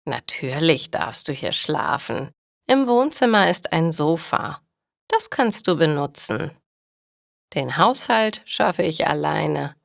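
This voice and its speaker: A woman voicing a kind but shrewd, plain-spoken elderly grandmother in a storybook read for language learners, with deliberate, matter-of-fact delivery. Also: low-pitched, sing-song, vocal fry, no room sound, clean studio sound, heavily acted. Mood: kind, practical.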